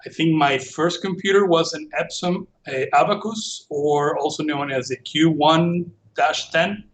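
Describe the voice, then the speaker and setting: Higher-voiced middle-aged man with Venezuelan Spanish accent. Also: unhurried, fairly bright tone. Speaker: podcast guest, computer forensics professional. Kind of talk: casual conversational storytelling.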